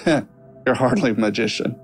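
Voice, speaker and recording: An actor playing a wry young stage magician in an audio drama, talking in a sarcastic, conversational way. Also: male voice, medium pitch, a little slow, soft background music, lightly acted. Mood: guarded, sarcastic.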